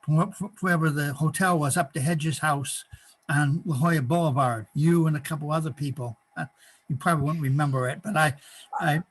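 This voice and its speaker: An older man taking part in a workshop, chatting casually over a video call, halting and repeating words with frequent chuckles. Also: a little high, low-quality recording. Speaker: workshop participant. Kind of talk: casual chat.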